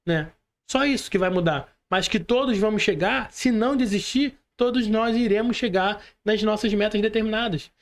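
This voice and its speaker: A Brazilian man talking motivationally on a podcast in an earnest, colloquial, exhorting way, often checking that the listener follows. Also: high voice.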